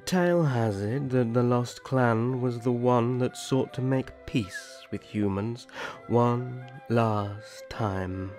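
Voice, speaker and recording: A man playing a gentle, courtly young elf prince in a roleplay audio drama, speaking formally and graciously. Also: medium pitch. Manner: formal, gracious, measured storytelling.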